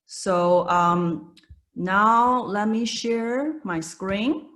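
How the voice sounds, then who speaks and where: Taiwanese woman in her thirties, webinar presenter on a video call, slow delivery full of fillers. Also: medium pitch, fairly bright tone. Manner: hesitant, many fillers and restarts.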